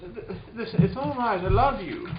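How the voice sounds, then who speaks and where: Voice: medium pitch, fairly quick, voice pushed up, natural voice. A performer playing a flustered, tender husband who stammers and coaxes in a hammy old-time radio play. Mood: soothing. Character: flustered, soothing husband Freddy, tender and over-dramatic. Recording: noisy, dull, roomy room recording.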